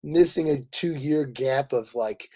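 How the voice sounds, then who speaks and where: A man with a raspy voice worn from a lot of talking, chatting casually and effusively with live viewers as host, in a jokey, rambling way. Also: mid-range voice, slow, a little mellow. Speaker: livestream host.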